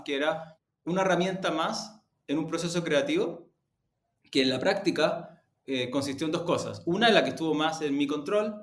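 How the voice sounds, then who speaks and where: Man in an echoey room, explaining hesitantly. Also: a little high. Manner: hesitant, searching for words, explanatory. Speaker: media executive, interview guest. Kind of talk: conversational interview answers. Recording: roomy, echoey sound.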